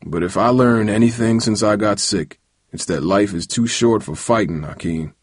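A man voicing a weary, serious middle-aged father in an audiobook, speaking gravely and gently as he gives advice. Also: lowish voice, dry recording, clean studio sound. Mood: weary, earnest. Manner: slow, grave, gentle advising. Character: weary, serious ailing father of a teenage boy.

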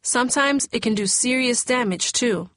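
A woman's voice: on the high side, moderate pace, fairly bright tone, no room sound, clean studio sound, pitched above the performer's own voice, lightly acted. A woman narrator voicing a caring, firm school nurse who gives advice. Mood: concerned. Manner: motherly, advising.

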